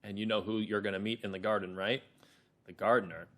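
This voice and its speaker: A man's voice: fairly low pitch, steady tone, fast talker, fairly bright tone. A man leading a read-along lesson for children, explaining things simply and expressively.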